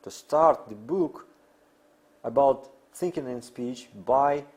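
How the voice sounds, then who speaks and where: Slow, deliberate male lecturer, non-native English. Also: mid-range voice, darkish tone. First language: not English. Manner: halting, deliberate phrasing with emphatic pauses. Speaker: academic lecturer. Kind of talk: lecture explaining theory.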